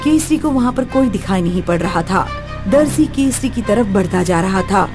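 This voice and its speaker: A woman's voice: mid-range voice, animated, normal speed, music in the background. A woman narrating a scripted story as a storyteller.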